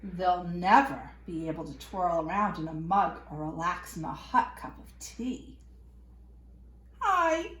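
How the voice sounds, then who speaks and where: A woman reading a picture book aloud to young children in an expressive, playful way with dramatic emphasis. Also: fairly low pitch, slow pace. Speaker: children's storyteller. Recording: echoey room.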